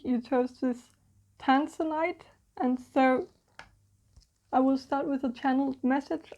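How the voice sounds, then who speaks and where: Non-native english woman doing a tarot reading, slow and halting, high flat voice, kinda muffled audio. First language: not English. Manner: hesitant, many fillers and restarts. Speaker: tarot reader. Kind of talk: channeled tarot reading to camera.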